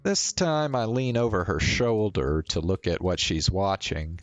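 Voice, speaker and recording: A man's voice: low voice, very animated, measured pace, dry recording, faint music behind. A man narrating a visual novel aloud as a streamer.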